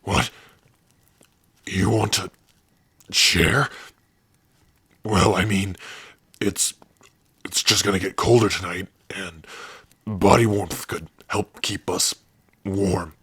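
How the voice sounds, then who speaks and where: A man voicing a big, gruff but tender orc warrior in an ASMR roleplay, shy and devoted, speaking haltingly and intimately close to the mic. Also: steady tone, no room sound, heavily acted. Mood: bashful, tender. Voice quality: husky, breathy low voice. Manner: slow, halting, softly intimate. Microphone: close dry microphone.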